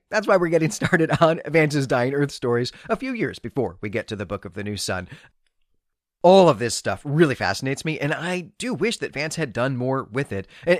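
A male podcast host talks through books in an analytical, bookish way. His mid-pitched voice moves around a lot as he stresses key phrases, and the sound is dry and close, with very little room in it. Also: no room sound. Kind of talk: literary podcast commentary on science fiction.

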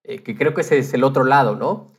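A man speaks as a podcast guest in a casual, conversational style, with an average-pitched voice. He sounds like someone from audio production talking shop in an informal exchange. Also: a little fast.

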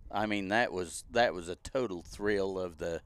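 A man's voice: sits low, normal speed, smooth. Folksy older man. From Missouri. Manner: plainspoken, folksy, laughs often. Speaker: guest. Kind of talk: casual chat.

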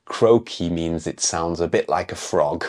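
A man with a croaky voice from a cold, hosting a podcast lesson and explaining words clearly. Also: deep voice, measured pace, a little mellow. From England. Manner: clear, explaining teacher's delivery. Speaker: English teacher and podcast host.

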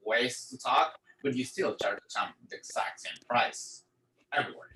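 A man from Ecuador talks casually and animatedly, slipping into quick impressions of the shopkeepers he describes. He sits back from the mic in a roomy space with echo and faint background hiss. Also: mid-range voice. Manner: animated, acts out quoted locals. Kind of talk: casual chat. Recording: echoey room, mic at a distance.

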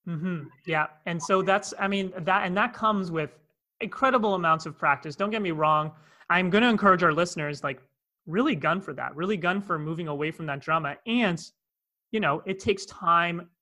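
Man, Canadian accent, coach, bright voice, slightly high, earnest podcast storytelling. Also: moderate pace. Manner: earnest, storytelling with many asides. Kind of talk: podcast conversation. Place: in Cape Town, South Africa.

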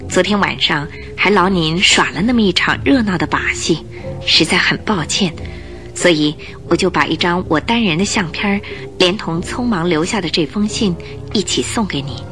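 A woman's voice: fairly low pitch, lively intonation, moderate pace, a bit bright, soft background music. A woman playing a clever, wary and determined heroine in a Mandarin radio drama. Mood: polite, triumphant. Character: clever adventurous woman, wary, determined, polite, newly married.

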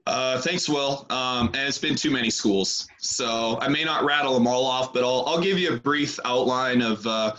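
A man, a strength coach talking through his career in a casual interview, easygoing and full of pauses. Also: mid-range voice, fairly bright tone, low-quality recording. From British Columbia, Canada. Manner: easygoing, unhurried, lots of pauses. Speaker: strength and conditioning coach, guest.

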